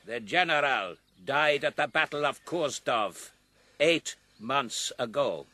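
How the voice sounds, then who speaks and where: An actor playing a wily, bullying Prussian recruiting captain with a German accent and gravelly voice in a radio drama. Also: male voice, medium pitch, lively intonation, slow, bright, forceful tone, no room sound, full character voice. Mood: menacing, smug.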